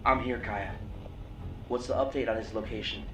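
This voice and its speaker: An actor playing a steady, determined security agent, businesslike and dryly pointed, in a science fiction audio drama. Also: male voice, mid-range voice, normal speed, distant mic, lightly acted. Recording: roomy echo, music underneath.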